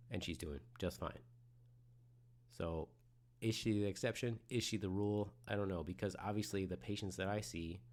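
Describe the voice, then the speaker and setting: A man in his forties who hosts a podcast and works in medicine, talking frankly and with a lot of swearing, swinging between loud outbursts and quiet musing. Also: low-pitched. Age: 40s. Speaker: podcast host in the medical field. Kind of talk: casual solo podcast monologue.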